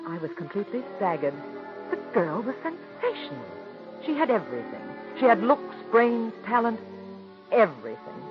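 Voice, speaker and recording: An actress in 1940s radio acting, playing a middle-aged theater woman who narrates her story in a soft, gentle voice, on a dull old radio broadcast. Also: medium pitch, big pitch swings, normal speed, over music, lightly acted. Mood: reflective.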